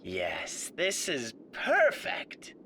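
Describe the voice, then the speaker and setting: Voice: mid-range voice, wildly swooping pitch, very slow, vocal fry, bright, forceful tone, a little hiss or hum, exaggerated voice. An actor playing a smug, sadistic male radio demon in an ASMR roleplay, theatrical, mock-polite and taunting. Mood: silky menace.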